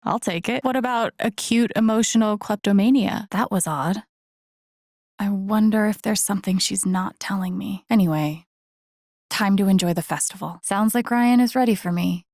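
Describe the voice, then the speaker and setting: A woman playing an introspective, gently wry young woman in a video game, musing to herself in a naturalistic, low-key way. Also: normal speed, no echo, clean studio sound, natural voice. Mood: musing, casual. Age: young adult. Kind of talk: naturalistic game voice acting, inner-monologue remarks.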